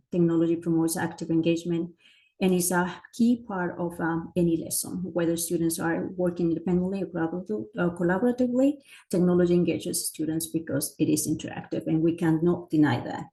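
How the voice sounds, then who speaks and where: Presenter: middle-aged woman, low voice, full of hesitations and restarts. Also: steady tone, a little fast, dark tone. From Chile. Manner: many fillers and self-corrections. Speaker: educator presenting a teacher training. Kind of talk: conference presentation.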